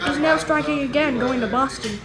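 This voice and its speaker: High-voiced amateur playing a news anchor woman's voice. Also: brisk, a loud crowd, close to an everyday voice, rough recording. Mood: announcing. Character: TV news anchor reading mock disaster bulletins. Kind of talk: amateur pretend news broadcast.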